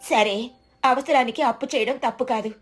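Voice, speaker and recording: A woman voicing a clever village farmer's wife in a moral story, earnest and expressive, worried yet brave. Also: fairly high pitch, moderate pace, faint music behind, lightly acted. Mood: worried, questioning. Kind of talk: dramatized Telugu moral story.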